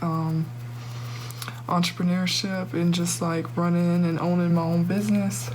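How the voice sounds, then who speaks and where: A young woman with a deep voice, chatting casually and joking through a makeup tutorial. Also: a little mellow, slight background noise, rough recording. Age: young adult. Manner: chatty and joking, heavy use of "like" and "literally". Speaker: beauty vlogger.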